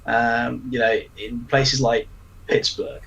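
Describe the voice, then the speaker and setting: A man co-hosts a sports podcast in a blunt, halting manner, stumbling through repeated words before landing his point. His pitch sits in the ordinary middle range. A faint noise hangs under his voice. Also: little pitch movement, gravelly, fairly bright tone, dull audio. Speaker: podcast co-host. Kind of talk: casual sports podcast chat.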